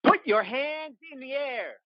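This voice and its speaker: A woman playing an exasperated federal agent in an audio drama, barking forceful orders. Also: high-pitched, animated, measured pace, no room sound, highs cut off. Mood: stern commanding. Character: Leams, exasperated, commanding federal agent under pressure.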